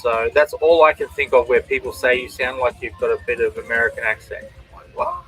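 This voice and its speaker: Bright-voiced Australian man chatting. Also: medium pitch, fairly even intonation, brisk, soft background music, poor audio. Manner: chatty, reads out and answers viewers' comments. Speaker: comic artist and stream host. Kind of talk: casual chat while drawing on a stream.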